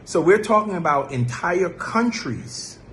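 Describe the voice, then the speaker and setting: A man's voice: sits high, animated, measured pace, a bit bright, slight background noise. A man from Jamaica who grew up in the United States, giving an explanatory personal talk in an emphatic way. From born in Jamaica, grew up in the United States. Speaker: vlogger sharing a travel experience.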